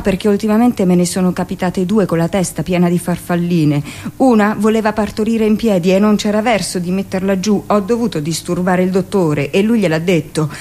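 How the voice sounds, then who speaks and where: Actress as a callous middle-aged hospital midwife. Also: female voice, lowish voice, dark tone, lightly acted. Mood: brisk, dismissive. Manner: conversational, matter-of-fact, scornful. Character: callous, self-justifying 45-year-old hospital midwife, chatty and dismissive. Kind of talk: theatrical radio monologue.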